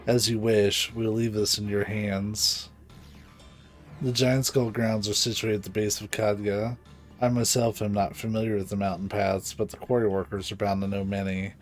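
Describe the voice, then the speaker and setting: A man voicing an earnest, dutiful guard from a video game, reading the formal dialogue aloud with deliberate urgency. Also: lowish voice, a little mellow, natural voice. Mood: resigned, helpful.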